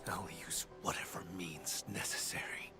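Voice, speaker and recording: An actor playing a cold, calculating man bent on revenge in a video game, speaking gravely and deliberately. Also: low voice, fairly even intonation, lightly acted. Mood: resolute, menacing. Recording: game audio with music underneath. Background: game music.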